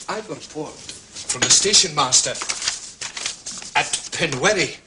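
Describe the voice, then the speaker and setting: An actor playing a methodical, proud Scottish police inspector in a period detective drama, speaking precisely and courteously with a Scottish accent. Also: male voice, fairly high pitch, lively intonation, measured pace, noisy background. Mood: quietly confident.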